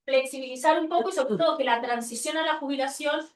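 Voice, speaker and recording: Recorded from a distance with clear room echo, a woman with a Spanish accent from Spain speaks in a high voice. She gives an informal, unscripted seminar talk. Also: fast, a little hiss or hum. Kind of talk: informal spontaneous presentation. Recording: echoey room, far from the mic.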